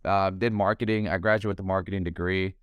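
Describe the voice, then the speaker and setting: A young man from Thailand, a realtor, talking casually on a podcast over a video call from home, relaxed and talkative, calling the host bro and man. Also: low voice, fast, clean tone, no echo. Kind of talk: casual interview chat.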